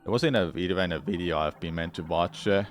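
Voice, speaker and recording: A Finnish man with a very low, deep voice talks frankly with his stream viewers, rambling, restarting his sentences and cursing without a second thought. Quiet game music hums behind him. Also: fast talker. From Finland. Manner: rambling, frank, swears freely, many restarts. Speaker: gaming streamer. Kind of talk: casual chat with viewers during a game playthrough.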